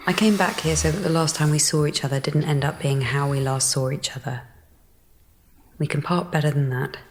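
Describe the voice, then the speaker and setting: Woman playing a guarded, lower-voiced woman scientist. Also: fairly even intonation, a little fast, background noise, close to an everyday voice. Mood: hurt, bitter. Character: guarded, wounded, sharp-tongued woman scientist. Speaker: actor in a radio drama. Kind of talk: naturalistic radio drama acting.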